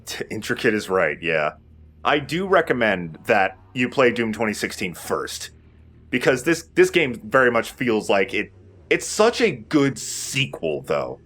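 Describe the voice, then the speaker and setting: Low-voiced man casually commentating on a game. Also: very animated, unhurried, fairly bright tone. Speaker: let's play host.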